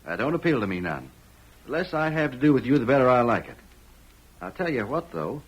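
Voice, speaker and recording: A man's voice: mid-range voice, big pitch swings, fairly quick, slightly rough, low-quality recording. An actor playing a shrewd male Old West homesteader in rural American country speech, in 1940s radio style on an old recording. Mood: shrewd, bargaining.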